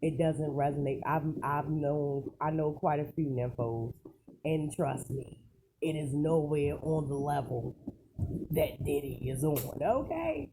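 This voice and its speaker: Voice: mid-range voice, measured pace. Emphatic commentator on a noisy recording. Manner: emphatic, colloquial, rhetorical questions. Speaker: online celebrity news commentator. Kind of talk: casual rambling opinion commentary. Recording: clearly noisy recording with clicks.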